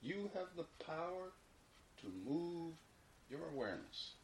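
A man leading a guided meditation, giving unhurried, repeated instructions with long pauses between them. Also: a little high, animated. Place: informal indoor room. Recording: roomy echo, faint background noise.

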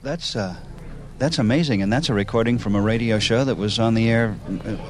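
A man's voice: fairly low pitch, a little fast, over music. A man hosting a conversational radio interview, with polite, hesitant phrasing. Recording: faint background noise.

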